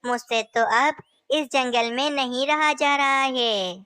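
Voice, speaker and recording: A woman's voice: high-pitched, fairly even intonation, slow pace, bright, forceful tone, smooth, dry recording, exaggerated voice. A woman voicing a scheming male owl brother in a cartoon story who later says sorry. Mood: worried. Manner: sly, then apologetic. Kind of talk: Hindi cartoon voice acting.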